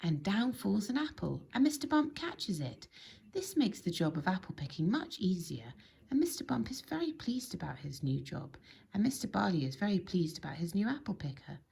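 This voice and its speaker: A woman reads a children's story aloud in an expressive, emphatic storytelling manner. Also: mid-range voice, moderate pace, gravelly, slightly rough. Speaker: storybook reader.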